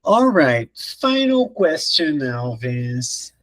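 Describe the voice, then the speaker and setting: A man's voice: fairly high pitch, very animated, slow, a bit bright. A man from Brazil with a Brazilian Portuguese accent, a native Portuguese speaker and English teacher, hosting a casual interview with hesitant, filler-laden speech. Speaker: podcast host, English teacher.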